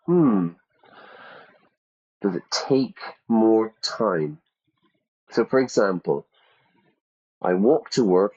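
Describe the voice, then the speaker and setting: Male ESL teacher, lowish voice, unhurried, patient quiz-style delivery, dull lo-fi audio. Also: warm tone, breathy edge. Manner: slow, stretched-out questions with repeated options. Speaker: English teacher.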